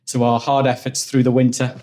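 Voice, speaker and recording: Flat-voiced Liverpool man telling stories. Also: mid-range voice, brisk. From Liverpool, England. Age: 40s. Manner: rambling, repeats words while thinking. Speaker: guest, engineering executive. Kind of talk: relaxed interview storytelling. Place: office at company headquarters.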